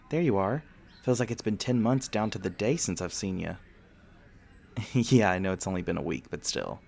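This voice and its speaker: A man acts out a friendly young vampire showing a listener around a university in an ASMR roleplay. His voice sits very low with a strong gravelly creak. He talks casually and conversationally, with gentle, teasing jokes. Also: heavily acted. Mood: warm, teasing. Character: friendly, teasing male vampire university student and tour guide.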